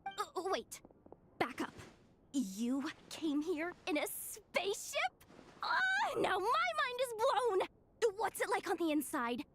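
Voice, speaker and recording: Squeaky, excitable little game companion. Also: female voice, slow, heavily acted. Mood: amazed, curious. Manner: exclamatory, expressive, easily amazed. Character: tiny excitable childlike female companion, bubbly and dramatic. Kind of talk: video game voice acting.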